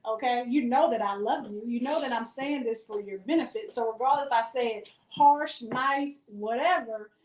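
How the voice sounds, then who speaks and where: A woman co-hosting a podcast in casual chat, agreeable and quick to affirm. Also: on the high side. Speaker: podcast co-host. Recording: echoey room, far from mic.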